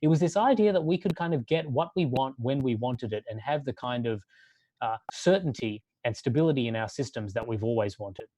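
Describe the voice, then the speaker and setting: A man who grew up in Australia, giving a sermon-like talk in a measured way and building long lists for emphasis. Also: mid-range voice, lively intonation, mellow, no echo, poor audio.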